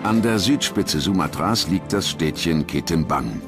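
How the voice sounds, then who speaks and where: A man reading scripted documentary narration in a measured, solemn manner. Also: low-pitched, lively intonation, over loud music.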